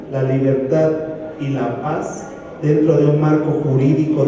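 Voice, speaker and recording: A man reading a formal text aloud at a brisk, steady pace, with small slips. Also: medium pitch. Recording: echoey hall from far off.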